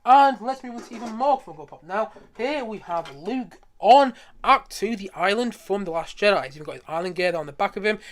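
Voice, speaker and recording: A man giving casual live stream commentary on a collection, enthusiastic, rambling and often repeating himself. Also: high-pitched.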